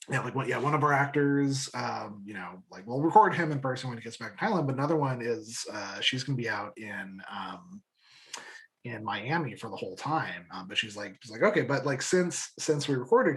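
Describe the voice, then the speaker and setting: A man's voice: mid-range voice, animated, normal speed, fairly bright tone, rough recording. A man speaking casually as an interview guest, enthusiastic and informal, often repeating words and restarting his sentences. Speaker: guest, audio drama creator.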